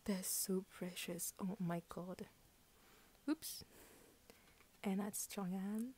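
A woman's voice: medium pitch, fairly even intonation, takes it slowly, mellow, slight background noise. A tired young woman whispering at home, gushing and rambling as she unboxes collectible cards. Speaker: unboxing vlogger.

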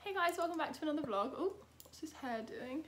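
High-voiced young British woman vlogging. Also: lively intonation, fast talker, a bit bright. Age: young adult. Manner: chatty, self-deprecating, informal. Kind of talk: casual vlog chat to camera.

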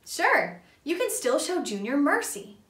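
Male tomato sleuth for a picture book, woman reader: probing, persistent, high-pitched, roomy recording. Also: very animated, unhurried, fairly bright tone, recorded from a distance, exaggerated voice. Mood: encouraging. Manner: insistent, questioning.

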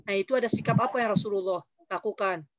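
A man's voice: high voice, fast, fairly bright tone, dry recording, studio quality. Patient male teacher on a video call. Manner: patient, repeats instructions. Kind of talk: online class explanation.

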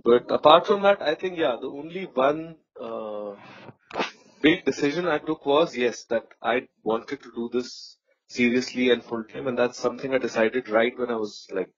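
A man's voice: mid-range voice, normal speed, breathy edge, lo-fi sound. A man in his thirties from North India with an Indian English accent, a musician guest talking reflectively in a casual interview, with many fillers and restarts.

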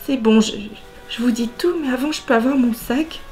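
Actress as a coquettish high-voiced woman stalling the police. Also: moderate pace, darkish tone, soft background music, close to an everyday voice. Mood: coy. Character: coy woman suspect stalling an interrogation. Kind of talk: stage actor in filmed interrogation scene. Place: dim office with bookshelves.

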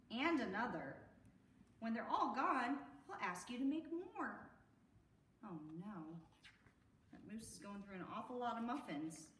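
A middle-aged American woman reading a picture book aloud to children in an expressive, sing-song way. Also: a little high. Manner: sing-song, expressive, addressing young listeners. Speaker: children's storytime host. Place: indoor room at an arts center. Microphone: camera a few metres away. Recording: roomy echo, distant camera mic.

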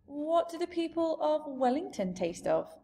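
Woman acting a young girl, high-pitched, polite, questioning, wary, storybook heroine. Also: sing-song, a little slow, dark tone, a little hiss or hum, exaggerated voice. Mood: puzzled. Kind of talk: storybook character voice.

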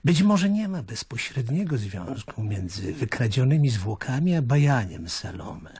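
An actor playing a shrewd, dismissive high priest with a raspy voice in a radio drama. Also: male voice, sing-song, measured pace, a little mellow, no echo, exaggerated voice.

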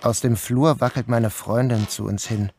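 A man's voice: medium pitch, fairly even intonation, normal speed, a little mellow. A man narrating an audio drama in the first person, as its protagonist. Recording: noticeable background noise.

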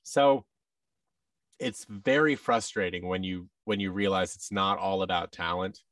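A man's voice: low-pitched, a little slow, a bit bright, dry recording, studio quality, distant mic. An American man in his thirties chatting casually as an interview guest, often restarting and acting out bits of dialogue. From United States. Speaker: podcast guest, voice actor. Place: large indoor room with tables, on a video call. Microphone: boom-arm microphone with headset.

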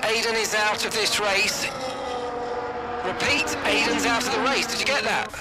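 Racing game engineer: tense, clipped radio instructions, very high voice, loud music and cars. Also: male voice, moderate pace. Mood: urgent. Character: tense male race engineer on team radio. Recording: loud music and car noise behind.

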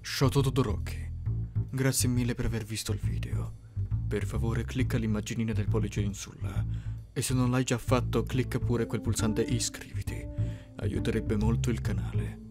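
Man playing low-voiced, courteous young anime heartthrob. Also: steady tone, normal speed, gravelly, music in the background. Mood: warm, inviting. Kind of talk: Italian ASMR roleplay acting to the listener.

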